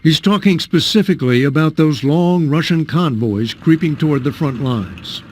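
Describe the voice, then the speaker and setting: A male television correspondent narrating a news report and asking crisp, probing questions. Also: on the high side, moderate pace, a little mellow, a little hiss or hum.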